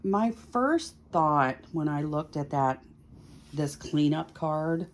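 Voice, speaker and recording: Woman, slightly low pitch, very slow pace, casual tarot host, musing aloud, short phrases with pauses. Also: lively intonation, a little hiss or hum. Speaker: tarot reader hosting a video series. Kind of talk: casual chatty card reading, thinking aloud to viewers.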